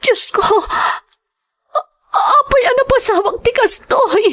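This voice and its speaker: A woman's voice: extremely high pitch, a little slow, a bit bright. A woman playing a devoted, self-sacrificing young woman wounded in love, in a melodramatic audio drama. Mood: hurt, disbelieving. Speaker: drama actor.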